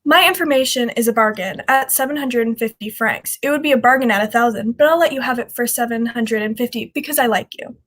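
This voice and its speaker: A woman as a sly, high-voiced underworld hustler. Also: fast talker, few pauses, fairly bright tone. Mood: wheedling, sly. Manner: wheedling, playful haggling. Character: charming, scoundrelly young female underworld café proprietor, greedy and teasing. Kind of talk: 1940s radio drama acting.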